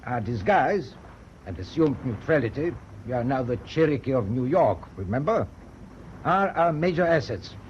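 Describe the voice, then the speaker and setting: An actor in a British radio drama playing a determined German naval captain, formal, measured and authoritative. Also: male voice, medium pitch, animated, lightly acted. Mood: stern, resolute. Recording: dull, faintly noisy old radio recording.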